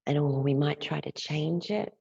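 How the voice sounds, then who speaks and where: A woman with a low, gravelly voice leads a guided meditation. She speaks softly and soothingly as a teacher, lingering between phrases. The recording is close and dry, though slightly dull. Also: fairly even intonation, no room sound. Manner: gentle, unhurried, with long pauses between phrases. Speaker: yoga nidra meditation teacher.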